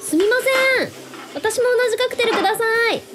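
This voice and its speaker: Very high, sweet young idol acted by a woman. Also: animated, brisk, loud music behind the voice. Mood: admiring. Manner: sweet, polite, admiring. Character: bright, flattering young female pop idol. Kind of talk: radio drama acting.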